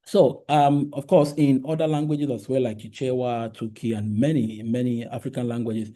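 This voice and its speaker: A man's voice: medium pitch, steady tone, moderate pace, mellow. A man whose first language is Yoruba, giving an academic linguistics talk with many fillers and restarts and frequent "right?" check-ins. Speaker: linguistics researcher presenting a talk. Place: lecture room.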